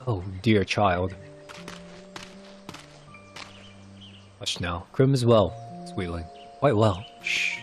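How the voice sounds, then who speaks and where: A man voicing a weary, solemn old village elder who is gentle with children, speaking in grave, archaic phrasing as in fantasy game cutscenes. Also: on the low side, unhurried, soft background music, natural voice. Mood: soothing. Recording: clear background noise.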